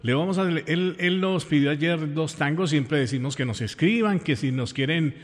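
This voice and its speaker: A man's voice: fairly high pitch, normal speed, in a large hall. A man from Colombia with a Colombian accent, talking informally as a radio host to listeners in an affectionate, effusive way, repeating phrases for emphasis. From Pereira, Colombia.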